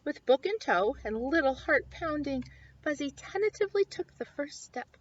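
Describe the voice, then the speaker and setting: A woman reading a children's picture book aloud expressively, with dramatic, drawn-out emphasis on key words. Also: sits high, animated. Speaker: story time reader. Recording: dull lo-fi sound.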